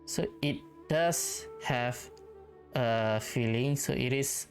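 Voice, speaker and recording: A woman's voice: rumbling low, takes it slowly. A woman giving casual, hesitant let's play commentary, thinking aloud as she reacts to a game. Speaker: gamer playing and reacting to a story quest. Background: game music and dialogue.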